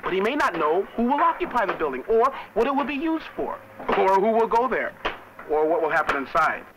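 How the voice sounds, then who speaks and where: A man's voice: high voice, very animated, a little fast, background noise. A young American man playing a cheerful college student who narrates a 1970s educational film in a folksy, chatty way. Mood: folksy, upbeat. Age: young adult. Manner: folksy, chatty, addressing the viewer. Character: cheerful young college student guiding viewers round his street. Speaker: on-camera narrator.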